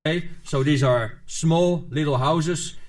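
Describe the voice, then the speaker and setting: A man's voice: on the high side, a little slow, darkish tone. Man explaining with "okay?" tags. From Netherlands. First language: Dutch. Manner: methodical, many "okay?" and "uh" fillers. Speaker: YouTuber explaining a topic. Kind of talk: explanatory vlog monologue.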